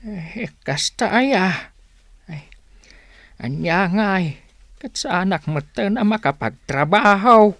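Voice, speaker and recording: An actor playing an ailing, stubborn old father in a radio drama, with a groaning, wheezy, halting voice. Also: high voice, expressive, fairly bright tone, no room sound, studio quality, heavily acted. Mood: weary, grumbling. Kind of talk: Ilocano radio drama acting.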